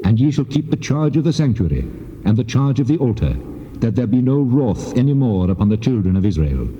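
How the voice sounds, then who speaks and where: A cavernous, echoing God of Israel declaims law in a dramatised Bible recording. The voice is very dark and mellow, solemn and measured, with full authority. Long reverb surrounds every phrase on a muffled tape. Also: male voice, medium pitch, big pitch swings, a little hiss or hum. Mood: solemn, commanding. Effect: heavy reverb on the voice. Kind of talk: dramatised scripture reading in King James English.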